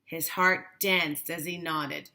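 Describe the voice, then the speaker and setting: A woman reads a children's storybook aloud as a teacher would. Her voice is bright and a little low. She takes it very slowly, phrase by deliberate phrase. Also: fairly even intonation.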